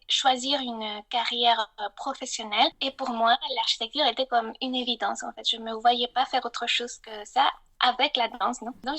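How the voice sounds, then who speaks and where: High-voiced woman. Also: fairly bright tone. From Mexico, grew up in Mexico City. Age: middle-aged. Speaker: podcast guest, architect. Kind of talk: conversational life story in an interview.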